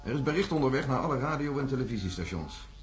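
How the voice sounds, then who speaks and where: A man's voice: mid-range voice, lively intonation, fast, a little hiss or hum, close to an everyday voice. An actor playing a cool, self-controlled male scientist with a clipped, unemotional manner in a science fiction radio drama. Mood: cool, detached.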